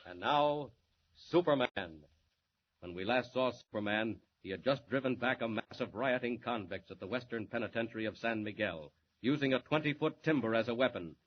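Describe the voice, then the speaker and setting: A man's voice: mid-range voice, animated, moderate pace, a little hiss or hum. A man narrating and announcing a 1940s radio serial in a declamatory, suspenseful style, on a lo-fi 1940s radio transcription.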